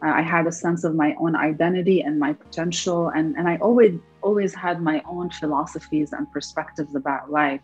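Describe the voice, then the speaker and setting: A woman's voice: low voice, breathy edge, muffled recording. A young woman from Lebanon, an entrepreneur answering interview questions in an earnest, reflective way, often repeating words as she searches for them. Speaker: entrepreneur guest.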